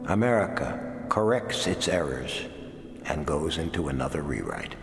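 A grave man from a novel, speaking slowly and deliberately in a very deep voice. His words ring out in a large reverberant space, with music underneath, as in a solemn radio drama. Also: animated, vocal fry, full character voice. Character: Don Solomon, grave novel character musing on America. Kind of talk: slow radio drama reading.

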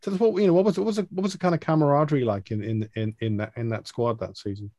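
A man hosting a phone-in show, asking rambling, halting questions. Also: mid-range voice, steady tone, warm tone, dry recording, studio quality. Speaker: host. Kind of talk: casual chat.

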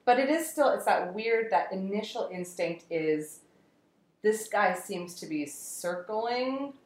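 A woman chatting casually on a podcast, enthusiastic and quick to laugh. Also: medium pitch, expressive. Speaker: podcast co-host, guest with a dilemma. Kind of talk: casual chat. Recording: echoey room.

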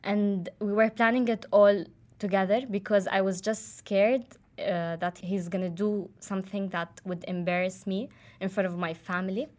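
A woman's voice: medium pitch, steady tone, normal speed, a little mellow. A young woman from Jordan, casually telling a personal story with many fillers and restarts. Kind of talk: casual chat.

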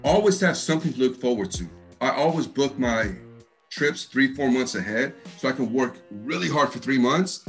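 A deep-voiced rapper kicking back on a music podcast, joking in slang, an American man with East Coast flavor, a track playing underneath. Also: animated, normal speed. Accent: American with East Coast touch. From Texas, United States. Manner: slangy, easygoing, jokey. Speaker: hip hop artist, interview guest. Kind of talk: casual chat.

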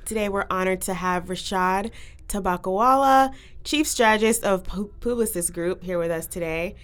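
A woman's voice: medium pitch, normal speed. A young woman hosting a casual podcast, talking with guests and presenting trends. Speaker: podcast host.

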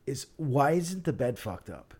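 A man's voice: medium pitch, sing-song, a little slow, a little mellow, breathy edge. A man co-hosting a podcast in casual chat, griping comically with plenty of profanity. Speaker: podcast co-host.

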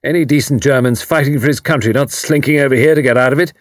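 A man voicing a hearty, blustering older British commander with an upper-class English accent and a loud, barking, clipped delivery in an audiobook. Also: mid-range voice, fast, dry recording, clean studio sound, voice pushed up, natural voice. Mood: blustering, indignant. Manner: bluff, emphatic, clipped phrasing.

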